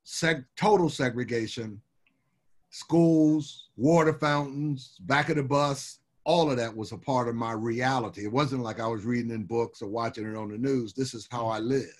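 An older man from Birmingham, Alabama, speaking as a guest on a video call. He tells his stories in an easygoing, rambling way, full of "you know." His voice sits in a middle pitch for a man. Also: moderate pace. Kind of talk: casual interview storytelling. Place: home room with wood shutters and framed pictures.

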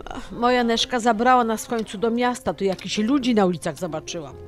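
A woman's voice: a little high, a little fast, faint music behind, lightly acted. An actress playing a blunt, folksy older mother visiting from Poland in an audio drama. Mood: impressed, chatty.

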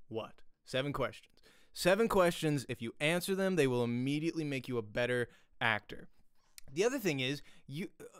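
A man in his thirties teaches acting in a casual, conversational way. His voice is lively and widely varied in pitch, with a clean, smooth tone. He speaks close to a studio mic in a small home room, with a very dry sound. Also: no room sound. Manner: animated, chatty, repeats questions for emphasis. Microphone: close studio microphone with pop filter.

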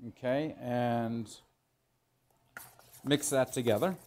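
A middle-aged man, a chef teaching in a kitchen, explaining as he works in an informal, halting way and repeating phrases for emphasis. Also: medium pitch, darkish tone, slight background noise. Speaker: chef giving a cooking demonstration. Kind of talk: instructional cooking demo, explaining while working. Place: indoor professional teaching kitchen.

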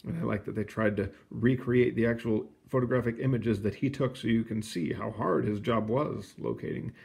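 A man reading a children's storybook aloud in an expressive, explanatory way, with casual asides. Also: mid-range voice, moderate pace, vocal fry. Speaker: storybook reader.

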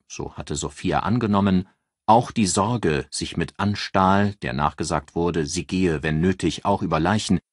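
A man reading an audiobook as narrator, with expressive scripted delivery. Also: sits low, breathy edge, dry recording, clean studio sound.

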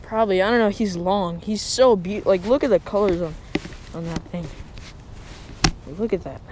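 A young man chatting casually and excitedly as he fishes, in slangy, exclamatory speech, recorded outdoors on an action camera. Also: sits high, normal speed, background noise. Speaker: fishing vlogger. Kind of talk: casual excited chat while fishing. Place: outdoors on a rocky reservoir shore. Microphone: body-worn action camera.

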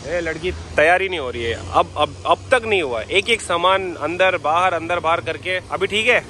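A man's voice: high-pitched, fairly quick. A young man with a Mumbai Hindi accent, chatting playfully while riding a motorcycle in the rain. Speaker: motovlogger host. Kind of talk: casual vlog chat while riding. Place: outdoors on a motorcycle in rainy city traffic. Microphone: helmet or bike-mounted action camera. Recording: loud road and wind noise outdoors.

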